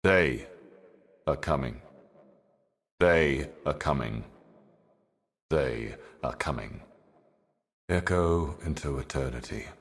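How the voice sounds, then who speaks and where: The Envoy, profoundly deep ancient cosmic herald. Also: male voice, vocal fry, husky, full character voice. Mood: solemn foreboding. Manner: solemn, portentous, measured oratory. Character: The Envoy, ancient cryptic otherworldly being warning of doom. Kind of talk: theatrical fantasy game monologue.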